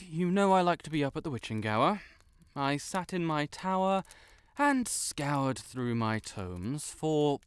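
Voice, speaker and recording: A man's voice: fairly high pitch, no room sound. A man playing a pompous, melodramatic wizard in an audio roleplay, with flowery, theatrical speech and blurted self-corrections. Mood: haughty, dramatic. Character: haughty, melodramatic male wizard, secretly fond tsundere. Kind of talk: scripted audio roleplay to the listener.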